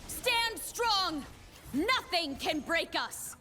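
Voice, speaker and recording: A woman's voice: very high-pitched, expressive, very slow, bright, forceful tone, faint music behind, exaggerated voice. A woman playing a determined, stern young warrior leader in a video game, speaking in solemn, commanding, clipped declarations. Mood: rallying, fierce. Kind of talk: video game character voice lines.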